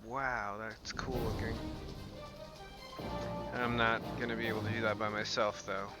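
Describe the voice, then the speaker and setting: A man's voice: lowish voice, flat delivery, unhurried. A man giving casual gameplay commentary as a game streamer. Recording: game music behind voice. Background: game music.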